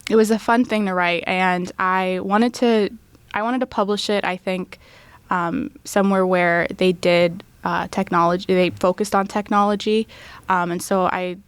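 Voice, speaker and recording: A young woman law student answering interview questions softly, with frequent ums and small laughs. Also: medium pitch, steady tone, moderate pace, clean tone, no echo.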